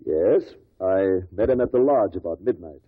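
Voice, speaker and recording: Low, husky businessman witness. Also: animated. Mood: guarded, matter-of-fact. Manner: measured, matter-of-fact witness delivery. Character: guarded businessman, male stockbroker giving testimony. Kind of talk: 1950s radio drama acting. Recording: tinny, dry old radio recording.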